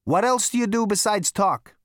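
A man's voice: high-pitched, lively intonation, normal speed, a bit bright, no echo, clean studio sound, voice pushed up. A man playing a brash space rogue in a storybook, impatient, sarcastic and given to exclaiming.

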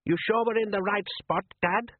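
A man voicing a worried young fisherman's son who keeps asking his father questions in a high, pleading tone. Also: steady tone, moderate pace, narrow, tinny audio. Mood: anxious.

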